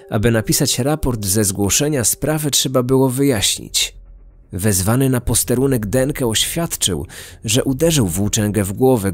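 A man narrating a scripted true-crime story in a measured, serious way. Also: mid-range voice. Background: background music.

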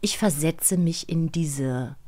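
A middle-aged woman, a voice actress, talking in a studio interview in a lively, expressive way and acting out little scenes. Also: deep voice, slow. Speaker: voice actress guest. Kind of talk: interview conversation. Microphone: studio microphone.

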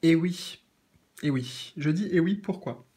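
Husky French man with a cold. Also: fairly high pitch, slow pace. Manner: gentle, repeats phrases, many fillers. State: has a cold and sore throat. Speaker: tarot reader. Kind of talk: tarot reading, reading out card meanings. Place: indoors at a card table.

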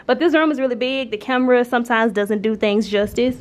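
An American woman in her twenties chatting casually and playfully to the camera as a vlogger, in colloquial, animated speech. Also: fairly high pitch, a little fast. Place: house, car and restaurant booth. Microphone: handheld camera at arm's length. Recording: noisy handheld camera audio.

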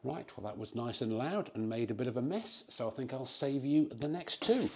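A man in his fifties hosting a DIY vlog from his garage, explaining things casually with frequent pauses on a lapel mic. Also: medium pitch, animated, very noisy. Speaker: host of a DIY car-building vlog. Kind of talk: casual instructional talk to camera. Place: home garage. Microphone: clip-on lapel mic.

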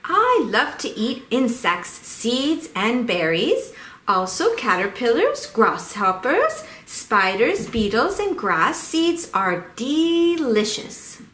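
A woman plays a cheerful male bluebird on a children's puppet show. The voice is high and swoops around as it explains things in a chirpy way, with a roomy echo. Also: sing-song, slow, a bit bright, exaggerated voice. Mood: cheerful, informative. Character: cheerful male indigo bunting bird, proud father-to-be.

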